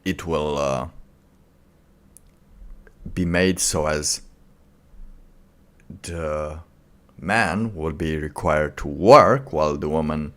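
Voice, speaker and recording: A man giving casual, off-the-cuff commentary as a streamer, in a halting, rambling way. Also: low voice, fairly bright tone. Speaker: streamer reacting to a video.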